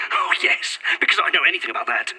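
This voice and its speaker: A man's voice: medium pitch, lively intonation, fairly quick, creaky, sharp tone, exaggerated voice, poor audio. A man acting an irritable, sweary corporate executive at breaking point in a found-footage audio drama, snappish and sarcastic. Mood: indignant. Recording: faint noise, found-footage recorder.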